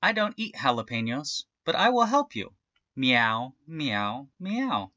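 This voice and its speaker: A man's voice: medium pitch, big pitch swings, takes it slowly, sharp tone, no echo, highs cut off, full character voice. A man voicing a clever, helpful female cat in a storybook, meowing and calling in singsong.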